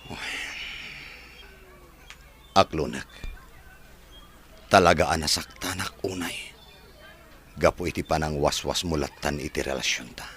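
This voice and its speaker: A man playing a wounded but resolute former boyfriend in a radio drama, grave and measured, with a Filipino Ilocano accent. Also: very deep voice, fairly even intonation, a bit bright, a little hiss or hum. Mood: hurt, resolute.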